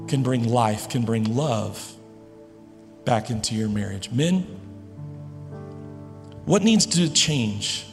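A man preaching a sermon to his congregation, earnest and emphatic, with dramatic pauses. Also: medium pitch, big-room sound. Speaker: pastor. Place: large church hall.

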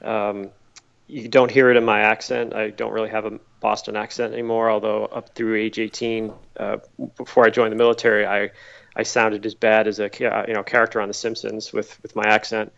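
A middle-aged man from Massachusetts whose voice has a gravelly, rough edge. He answers interview questions as easygoing stories, often repeating a word while he gathers his thoughts. The sound is slightly dull and lo-fi. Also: fairly low pitch, brisk. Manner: relaxed storytelling, repeats words while thinking. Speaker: podcast guest.

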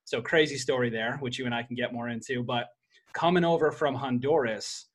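A man's voice: mid-range voice, fairly quick. A man hosting a podcast interview, casual and enthusiastic, calling his guest "man" and "bro". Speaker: podcast host.

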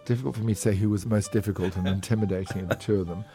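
A man's voice: fairly low pitch, steady tone, very fast, mellow, soft background music. An older man reminiscing as an interviewee in conversation.

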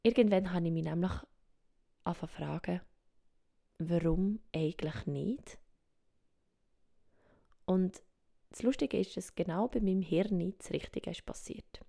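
A Swiss woman speaking German with a Swiss German accent, hosting a reflective solo podcast, thinking aloud softly and often dropping to a whisper. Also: on the low side, unhurried, gravelly, long pauses. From Switzerland. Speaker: podcast host. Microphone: home microphone.

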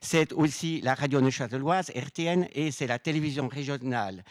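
A man's voice: mid-range voice, no room sound. A man giving a semi-formal welcome speech as an association president, often restarting and correcting his words. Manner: halting, repeats and corrects words.

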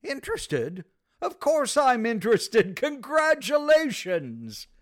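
Actor as man about town, radio sitcom, theatrical, unhurried, hugely varied intonation. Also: extremely high pitch, huge pitch swings, no echo, clean studio sound, heavily acted. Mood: astonished, delighted. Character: suave, excitable bachelor man about town, a sitcom friend.

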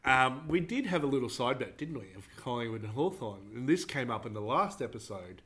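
A man's voice: medium pitch, animated, brisk, a bit bright. A young Australian man co-hosting a casual podcast, laid-back with mock-serious asides. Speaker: podcast co-host. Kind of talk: casual chat.

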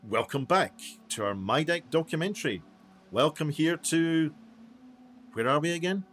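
An actor playing a self-important, easily baffled documentary maker in a mock-documentary radio play. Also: male voice, on the high side, expressive, moderate pace, a bit bright, soft background music. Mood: baffled, irritated. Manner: pompous, showy. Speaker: documentary presenter.

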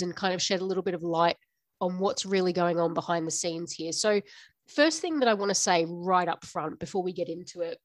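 A woman's voice: medium pitch, no echo. Nasal, stuffy-nosed woman podcast host. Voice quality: slightly nasal. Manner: frank, tells it like it is, laughs often. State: stuffy nose after the flu. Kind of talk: unscripted business podcast monologue.

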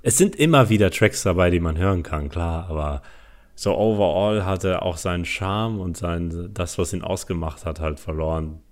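Very deep-voiced man, casual and opinionated. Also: normal speed. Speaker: podcast co-host. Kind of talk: casual chat about music.

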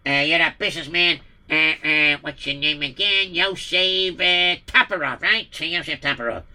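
A man's voice: fairly high pitch, normal speed, bright, forceful tone. A man doing a cackling, gloating villain. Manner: mocking, cackling, boastful. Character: scheming gloating male villain, middle-aged.

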